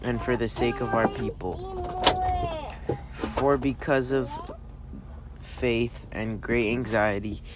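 A man reading scripture aloud in halting phrases, occasionally stumbling over words. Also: medium pitch, steady tone. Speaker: family scripture reader. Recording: loud vehicle and road noise. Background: car and vehicle noise.

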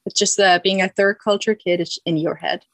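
A woman's voice: medium pitch, fairly even intonation, a little fast, fairly bright tone, no room sound. A young woman from Finland, chatting casually as a podcast guest, self-deprecating and full of restarts. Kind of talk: casual chat.

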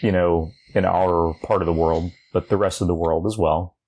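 A man's voice: sits low, steady tone, normal speed, darkish tone, breathy edge. A man co-hosting a podcast, chatting casually and explaining his opinions on gaming news. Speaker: podcast co-host. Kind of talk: casual chat about video game news.

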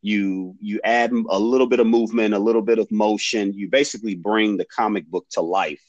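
A man in his forties with a low voice talks as a guest on a remote interview. He rambles with frequent ums and false starts. The sound is dry and close, with no room around it. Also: steady tone, brisk, no echo, lo-fi sound. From Detroit, USA. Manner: rambling, many ums and restarts. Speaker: guest, comic creator. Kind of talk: casual interview chat. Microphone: headphones, remote call.

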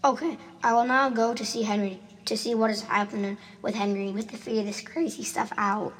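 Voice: mid-range voice, little pitch movement, fairly quick, natural voice. A performer playing an earnest, insistent schoolboy in an amateur radio drama. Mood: sulky, worried. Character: Timmy, earnest schoolboy who insists on what he saw. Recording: home recording with clear noise and clicks.

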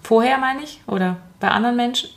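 A woman's voice: on the high side, quick pace, creaky. A woman hosting a casual interview, asking curious, rambling questions with frequent restarts. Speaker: host, interviewer.

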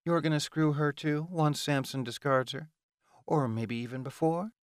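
A man's voice: fairly high pitch, steady tone, normal speed, clear, resonant tone, dry recording, studio quality, voice pushed up, lightly acted. Man voicing a venomous, sneering vampire woman. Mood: taunting. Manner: sneering, bitter, insulting. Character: venomous, taunting female vampire, scornful captive ex-lover.

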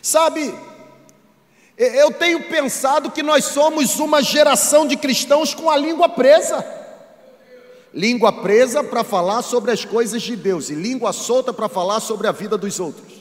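High-pitched Brazilian male preacher in a hall. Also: unhurried. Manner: emphatic, rhetorical, repeats phrases for effect. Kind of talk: sermon to a congregation. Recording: echoey hall with long reverb.

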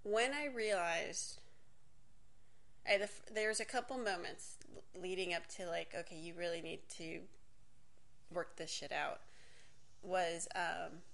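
A woman's voice: lowish voice, steady tone, a little slow, gravelly, long pauses, fairly bright tone. A woman in her forties, raised in Miami, talks through a personal story in a loose, candid monologue. She interrupts herself, circles back, swears casually and laughs often. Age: 40s.

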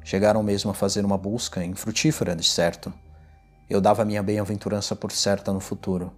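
A man playing an unhinged, gloating condemned murderer who recounts his crime in a deliberate, theatrical gothic horror reading. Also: lowish voice, flat delivery, breathy edge, faint music behind. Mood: smug, confident. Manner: theatrical, deliberate, swinging from hushed to exultant.

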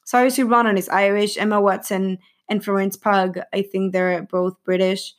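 A woman's voice: mid-range voice, level tone, a little slow. A young woman vlogging casually about a film's cast, rambling and giggly, with lots of fillers and self-corrections. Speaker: film vlogger.

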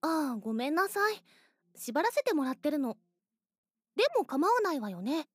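Very high-voiced obsessive lovestruck girl. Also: very animated, moderate pace. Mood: sweetly possessive. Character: obsessively lovestruck young woman, possessive, sweetly menacing captor. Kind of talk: Japanese anime-style voice drama acting.

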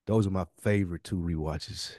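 A man's voice: low voice, steady tone, normal speed, dark tone. A man co-hosting a podcast, chatting casually in slangy, informal language with some swearing. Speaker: podcast co-host.

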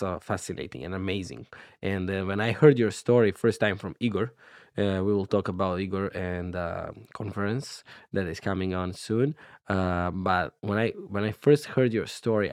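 Rambling podcast host, low voice. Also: male voice, normal speed, a little mellow, no room sound, clean studio sound. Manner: rambling, filler-heavy questions. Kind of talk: casual interview talk.

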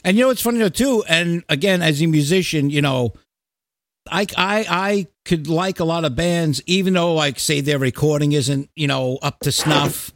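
A man's voice: a little high, moderate pace, no echo. A middle-aged man hosting a podcast, chatting casually and bluntly about music with plenty of swearing. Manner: blunt, salty, profane. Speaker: podcast host. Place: remote Skype call, audio show.

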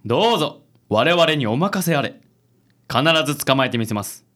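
Man acting a suave, pompous, theatrical dandy, animated. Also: mid-range voice, moderate pace. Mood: pompous, confident. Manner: pompous, passionate, sprinkles English words. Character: suave, theatrical, overzealous self-styled handsome man. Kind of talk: comic radio drama acting.

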